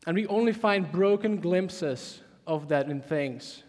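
Man, Czech accent, high voice, preaching, long echoing hall. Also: takes it slowly. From Czech Republic. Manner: animated, emphatic preaching with many restarts. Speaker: pastor. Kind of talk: sermon.

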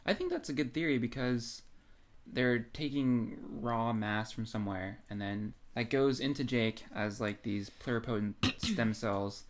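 A man's voice: medium pitch, measured pace. A man co-hosting a podcast, theorizing in a casual, conversational way. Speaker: podcast co-host. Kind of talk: casual speculative discussion.

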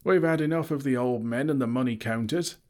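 A man voicing an angry crowd of townsfolk, shouting their demands. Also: lowish voice, unhurried, pitched above the performer's own voice, natural voice. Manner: shouting, raised voices.

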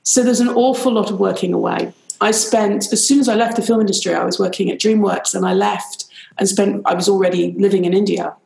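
A woman's voice: mid-range voice, a little fast, vocal fry, echoey room. A middle-aged woman with a British accent, a business strategist guest chatting animatedly in an interview over a video call. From Ireland, raised in Pakistan and Nigeria. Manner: chatty, animated, frequent "rather lovely". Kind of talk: conversational interview.

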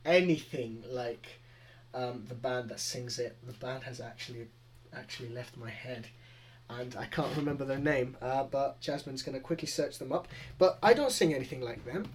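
A man's voice: medium pitch, normal speed. Chatty Greek man, rambling casually. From Greece. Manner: chatty, rambling, frequent laughs. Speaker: podcast co-host. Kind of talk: casual chat.

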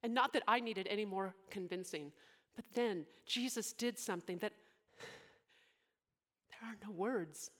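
A young woman playing Mary in a dramatic monologue, speaking emotionally and haltingly, often sinking into soft whispers. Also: medium pitch, close to an everyday voice. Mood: overwhelmed, reverent. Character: devoted young woman, biblical Mary, deeply moved.

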